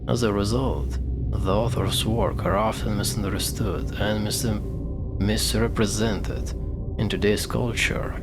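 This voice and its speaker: Slow-talking man with a gravelly low voice. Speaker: gaming video host. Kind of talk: reading informational notes aloud during a game playthrough.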